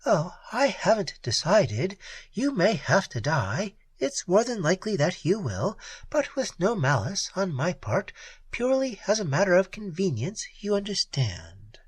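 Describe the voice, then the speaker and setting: A man reading aloud and voicing a cool, mocking female space pirate queen in a softer, higher voice. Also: wildly swooping pitch, unhurried, slightly rough, no room sound, full character voice. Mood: coolly menacing. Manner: sweet, taunting, softly menacing.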